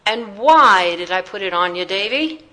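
Woman putting on a booming circus showman. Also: very animated, unhurried, bright, forceful tone. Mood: amused, commanding. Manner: booming, theatrical, teasing politeness. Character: booming, swaggering circus owner, a worldly English man.

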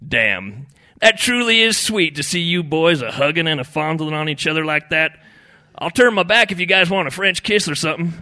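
A man's voice: fairly high pitch, very animated, unhurried, few pauses, sharp tone, pitched above the performer's own voice, full character voice. A man voicing a brash, foul-mouthed male fishing buddy in a story, teasing with heavy sarcasm. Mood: sarcastic teasing.

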